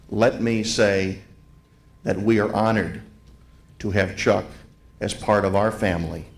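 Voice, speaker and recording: Man, fairly low voice, opening remarks at a formal event, ceremonial tribute, deliberate emphatic delivery, repeated phrases. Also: vocal fry. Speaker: event speaker, museum president giving opening remarks. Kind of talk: ceremonial tribute speech to a live audience. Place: hotel grand ballroom event.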